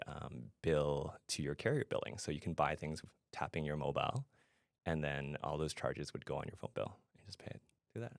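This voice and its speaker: Man from Canada, middle-aged, rock-bottom pitch, vocal fry, podcast guest, conversational answers. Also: no room sound, studio quality. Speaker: podcast guest, fintech product adviser and founder.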